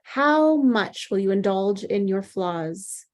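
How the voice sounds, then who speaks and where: Woman playing a measured, probing psychiatrist. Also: mid-range voice, lively intonation. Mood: calm, authoritative. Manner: measured, deliberate, clinical. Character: composed, probing female psychiatrist, coolly authoritative. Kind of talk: screenplay table read.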